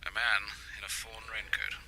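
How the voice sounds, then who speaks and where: A British actor playing an apologetic, dutiful police officer on night duty in a radio drama. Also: male voice, lowish voice, steady tone, normal speed, vocal fry, pushed and bright, heavily acted, poor audio.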